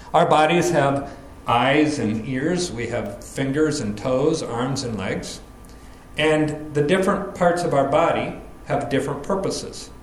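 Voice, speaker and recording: A man preaching a sermon, explaining scripture in an earnest, measured teaching manner. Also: a little high, echoey room, a little hiss or hum, low-quality recording. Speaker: pastor. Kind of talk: expository sermon.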